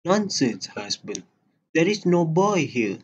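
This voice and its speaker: A man playing a giant's motherly but sinister wife in an amateur radio drama, speaking in a soft, coaxing way. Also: a little high, sing-song, takes it slowly, breathy edge, heavily acted. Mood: dismissive.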